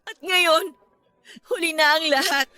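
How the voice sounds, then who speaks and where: Young daughter, Filipino accent, high-pitched, bitter, defiant, accusing, forceful radio drama delivery. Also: female voice, unhurried, dry recording, heavily acted. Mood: cold, resolute. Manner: accusing, emotional. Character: bitter, defiant young adult daughter rejecting her father.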